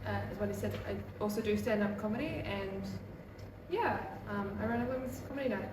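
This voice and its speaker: A young woman in her twenties talks about her work as a comedian in a relaxed, rambling way, full of fillers. Her voice sits in a mid range and comes from some distance away in an echoey room. Steady background noise runs under the whole recording. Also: medium pitch, a little fast. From Australia. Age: 20s. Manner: relaxed, chatty, with many fillers like "um" and "like". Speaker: comedian and comedy night producer. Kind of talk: casual interview answers. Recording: echoey room, mic far off, noisy background.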